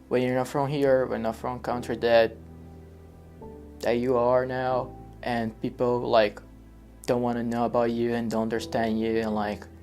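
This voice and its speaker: A man from Brazil, an exchange student talking haltingly in a documentary interview. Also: mid-range voice, darkish tone. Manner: hesitant, many fillers and restarts.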